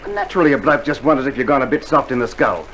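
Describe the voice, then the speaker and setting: An actor with an Australian accent playing a blunt, stubborn army soldier in an old radio drama. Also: male voice, mid-range voice, animated, very fast, a bit bright, lightly acted. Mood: irritated. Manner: grumbling, dismissive. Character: blunt, unimpressed Australian soldier, stubborn literal-minded mate. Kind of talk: 1960s radio drama acting. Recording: vintage vinyl radio play, noisy background.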